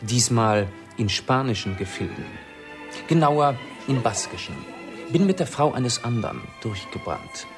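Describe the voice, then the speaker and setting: An actor playing an urbane, self-assured aristocratic amateur sleuth in a German radio play, explaining precisely and theatrically. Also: male voice, mid-range voice, lively intonation, measured pace, music in the background, natural voice. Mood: playful, suave.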